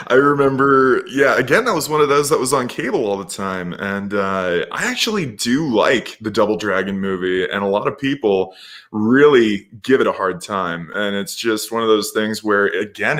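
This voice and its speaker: A man's voice: lowish voice, a bit bright. A man chatting casually as a panelist, with an enthusiastic, nostalgic way of talking. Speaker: guest panelist. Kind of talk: casual chat.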